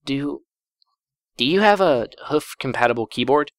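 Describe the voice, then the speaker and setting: A man's voice: medium pitch, expressive, measured pace, dry recording, studio quality, voice pushed up. A man voicing a flustered, insistent young female pony in a story reading, urgent and prone to stammering. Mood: sheepish, nervous.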